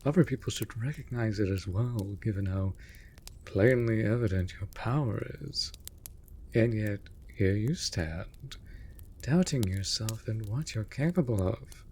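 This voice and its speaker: An actor playing a mage's raven familiar in a fantasy audio drama, theatrical and formal, scolding but caring. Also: male voice, lowish voice, unhurried, darkish tone, a little hiss or hum. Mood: earnest, frustrated concern. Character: male raven familiar of a storm mage, exasperated but devoted. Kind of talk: scripted fantasy audio roleplay.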